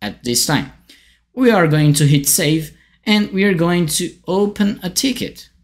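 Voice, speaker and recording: A man in his thirties from Brazil, with a Brazilian Portuguese accent, giving a friendly software tutorial from a bright indoor room. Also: fairly high pitch. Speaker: tech writer demonstrating software.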